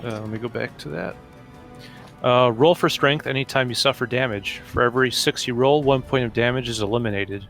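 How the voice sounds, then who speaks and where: A man's voice: medium pitch, fairly quick, a bit bright. Casual male tabletop player, faint music. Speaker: player in a tabletop role-playing game. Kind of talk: casual game-table chat.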